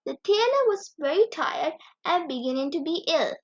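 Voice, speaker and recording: A woman reading a children's story aloud as a storyteller, with expressive, animated delivery. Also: extremely high pitch, normal speed. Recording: dull lo-fi recording.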